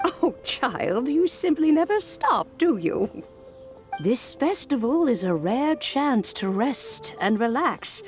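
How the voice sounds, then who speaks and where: Elderly granny, high swooping pitch, leisurely, teasing, indulgent mock-scolding, game character. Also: female voice, very animated, slow, a little mellow, soft background music, heavily acted. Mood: amused scolding. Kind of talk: video game voice acting.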